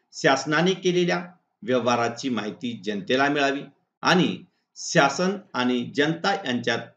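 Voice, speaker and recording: A man's voice: mid-range voice, fairly bright tone. Echoey, dull-sounding Maharashtrian man teaching. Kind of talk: exam-answer lesson, read out point by point.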